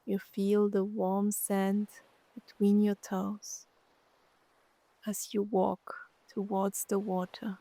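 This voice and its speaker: Very slow, creaky female meditation guide. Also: medium pitch, no room sound, low-quality recording. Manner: gentle, soothing, long pauses between short phrases. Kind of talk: guided meditation narration, scripted.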